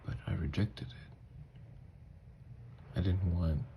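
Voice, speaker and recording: A man plays a haunted young-blood vampire confessing in an intimate audio drama. His voice is very deep and gravelly with creaky fry. He speaks slowly and haltingly, often dropping toward a hushed breath, with anguish under every phrase. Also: steady tone, highs cut off, a little hiss or hum, full character voice. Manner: halting, hushed, breathy delivery with long pauses. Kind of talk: intimate ASMR audio roleplay monologue.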